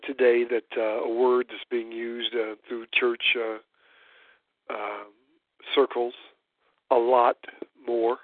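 Hoarse, congested man on a phone line. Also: medium pitch, dry recording. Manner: halting, many uh fillers. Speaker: host of a call-in church roundtable. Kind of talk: hesitant spoken discussion. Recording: dry tinny phone line.

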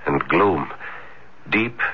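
An actor playing a melancholy, intense young student in a florid, anguished radio drama style. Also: male voice, low-pitched, lively intonation, drawn-out words, slightly rough, full character voice. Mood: desperate, gloomy. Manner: florid, anguished theatrical delivery. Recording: muffled old radio recording, music behind.

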